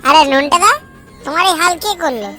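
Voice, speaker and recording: A performer voicing an excitable young tattling sidekick in a comedy cartoon, eager and gossipy. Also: sits high, fast, faint music behind. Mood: gleeful tattling.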